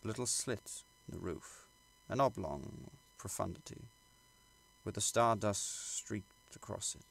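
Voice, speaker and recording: Male audiobook narrator, lowish gravelly voice, measured formal delivery of classic literary prose. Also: wildly swooping pitch. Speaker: audiobook reader.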